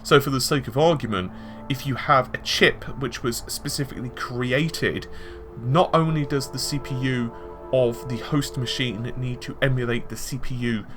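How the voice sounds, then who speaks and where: A man's voice: medium pitch, normal speed. A man giving conversational tech and gaming commentary, explaining his points with examples and asides. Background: background music.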